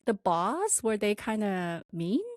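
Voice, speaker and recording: A woman's voice: medium pitch, takes it slowly, no echo, clean studio sound. A woman with a slight non-native accent, co-hosting a podcast and telling a work story in casual chat, acting out the quotes. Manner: animated storytelling with laughs and acted-out quotes. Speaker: podcast co-host.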